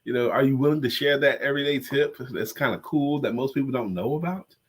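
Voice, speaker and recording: A man's voice: mid-range voice, quick pace, slightly rough. A congested man co-hosting a casual live-stream chat, joking and self-deprecating. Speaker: co-host and coach.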